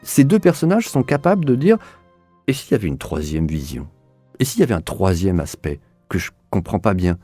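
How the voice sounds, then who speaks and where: A man with a mellow, relaxed tone and a slightly low pitch gives spontaneous interview answers as a director. He is earnest and searches for his words, often doubling them. Faint music plays behind him. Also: fairly quick, no echo. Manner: earnest, hesitant searching delivery with repeated words. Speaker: audio drama director being interviewed.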